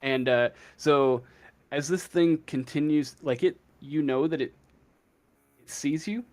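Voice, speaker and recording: A man running a tabletop game as game master, improvising the narration slowly and searching for his words. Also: a little high.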